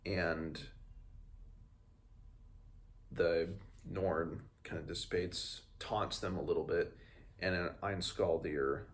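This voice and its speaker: A man in his twenties talks through a book in a very deep voice with plenty of vocal fry. He moves between retelling and reading aloud, a little haltingly, restarting as he goes. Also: fairly even intonation. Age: 20s. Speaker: book reviewer. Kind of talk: book read-along, recapping and reading aloud. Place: small room at home, indoors.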